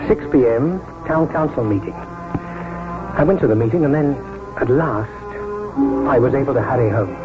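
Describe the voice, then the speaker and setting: An actor playing a kindly, determined older country doctor in earnest, theatrical 1940s radio drama style. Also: male voice, medium pitch, moderate pace, pauses often, natural voice. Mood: tense, halting. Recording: muffled 1949 radio broadcast, music behind.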